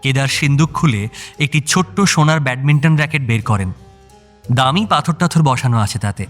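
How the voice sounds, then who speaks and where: A man's voice: medium pitch, a little fast. Male story narrator over faint music. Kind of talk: scripted audio story narration.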